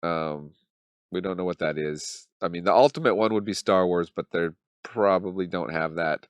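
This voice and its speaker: Guy with a cold, low smooth voice, wry rambly channel host. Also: normal speed, no room sound. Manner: wry, mock-dramatic, thinks aloud with many ums. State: has a cold. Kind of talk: casual commentary to camera.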